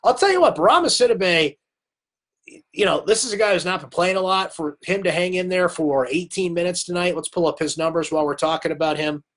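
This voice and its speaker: A man hosting a late-night live sports recap in a fairly high voice, chatty and opinionated. His voice is worn by a cold, and he talks straight into a webcam. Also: a little fast, fairly bright tone. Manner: chatty, opinionated, reads viewer comments aloud. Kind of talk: casual live-stream sports talk.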